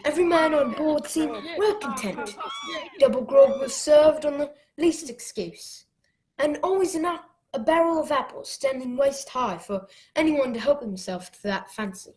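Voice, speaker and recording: Woman as animated, high-voiced boy adventurer. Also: moderate pace, poor audio. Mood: storytelling. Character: eager boy sailor recounting his sea adventure. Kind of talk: dramatic storytelling narration in a radio play.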